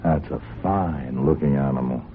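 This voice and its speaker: A man's voice: rumbling low, moderate pace. An actor playing a folksy police sergeant in a radio drama, hesitant and apologetic as he politely probes with mild questions. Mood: mild, probing. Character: folksy, polite but quietly probing male police sergeant. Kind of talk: old-time radio drama acting. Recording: muffled, hissy old radio drama recording.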